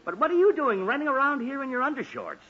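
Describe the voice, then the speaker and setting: A man's voice: very high-pitched, big pitch swings, fast, breathy edge, exaggerated voice. Wildly swooping, blustering father. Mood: flustered indignation. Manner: sputtering, flustered. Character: exasperated, blustering middle-aged father. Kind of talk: 1940s radio comedy acting. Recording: muffled, noisy 1940s radio recording.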